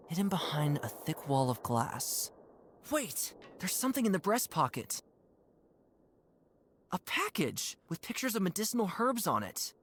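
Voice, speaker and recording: Curious animal hero, game voice, earnest, really up and down, mid pitch, bit of background noise. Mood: amazed. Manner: wondering, earnest, expressive observations to self. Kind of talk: cartoon adventure game voice acting.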